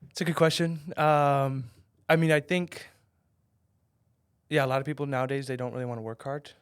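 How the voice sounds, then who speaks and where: A man's voice: medium pitch, steady tone, normal speed, clear, resonant tone, no echo, clean studio sound. A man in his twenties answering interview questions in a casual, hedging way, with lots of fillers. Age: 20s. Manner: soft-spoken, hedging with many fillers. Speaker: real estate investor guest.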